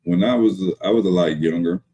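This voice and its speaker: A man's voice: sits low, brisk, warm tone, breathy edge, rough recording. A man in his thirties hosting a live podcast, speaking politely and hesitantly. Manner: polite, hesitant, many fillers and restarts. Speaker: podcast host. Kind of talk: casual live podcast talk.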